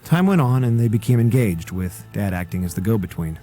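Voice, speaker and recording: Earnest, low, mellow young man. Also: sing-song, fairly quick, faint music behind. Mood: bitter, restrained. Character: earnest, guarded young man recounting a painful past. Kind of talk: video game voice acting, solemn storytelling.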